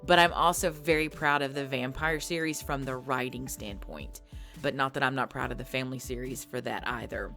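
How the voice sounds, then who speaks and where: Low-pitched woman, casual unhurried Q&A host, light music bed behind. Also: steady tone. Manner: soft, thoughtful, with fillers and restarts. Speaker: ASMR creator answering viewer questions. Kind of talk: casual Q&A talk. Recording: faint background music.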